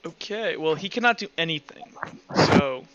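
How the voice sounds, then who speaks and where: A man running a tabletop roleplay session as game master over a voice call, halting and thinking aloud. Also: on the high side, expressive, a bit bright, smooth, muffled recording. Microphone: online voice call.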